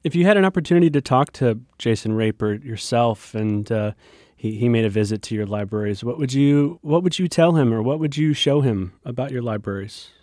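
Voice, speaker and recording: A man's voice: medium pitch, lively intonation, brisk, darkish tone, no echo, clean studio sound. An American man working as a radio reporter, reading scripted news narration and putting questions to interviewees.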